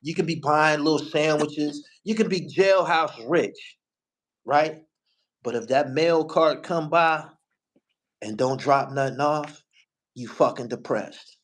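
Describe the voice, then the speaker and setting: Higher-pitched New man, casual and emphatic. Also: slow pace, a bit bright. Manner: emphatic, repetitive, profane. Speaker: podcast host. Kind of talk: casual street-slang talk.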